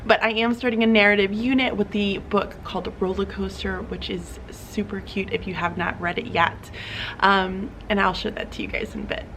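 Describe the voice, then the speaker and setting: A woman's voice: medium pitch, fairly even intonation, normal speed, a bit bright, a little hiss or hum, poor audio. A young woman teacher, chatting upbeat through her school day in a classroom vlog, with plenty of fillers. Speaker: teacher vlogger.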